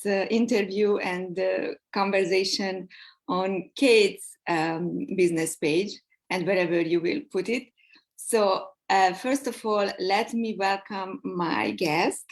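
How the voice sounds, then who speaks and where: A woman with a Hungarian accent hosting a live online interview, speaking in a halting way with many fillers. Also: mid-range voice. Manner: slow, halting, with frequent fillers. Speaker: host. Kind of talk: live social media interview.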